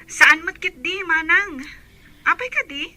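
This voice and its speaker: A woman's voice: extremely high pitch, expressive, unhurried, pushed and bright, faint music behind, exaggerated voice. A woman with a Filipino accent voicing a polite, eager young girl in a radio drama.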